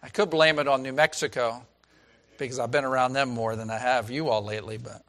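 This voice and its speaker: A pastor with a raspy voice preaching a sermon in a folksy, chatty way, asking the congregation questions. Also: male voice, mid-range voice, steady tone, fairly quick, fairly bright tone. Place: church.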